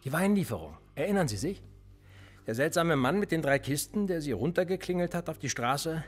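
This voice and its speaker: A cynical husky cop. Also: male voice, on the high side, brisk, soft background music. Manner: smug, needling, lively intonation. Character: cool, cynical male police interrogator. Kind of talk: radio drama acting.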